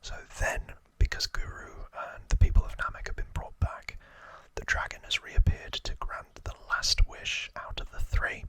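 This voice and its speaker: A man hosts ASMR in a fully unvoiced whisper, very close to the microphone. The sound is dry and sharp-edged. He retells a story informally, with a hesitant, self-correcting, rambling delivery. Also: normal speed, few pauses, no room sound. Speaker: ASMR video host.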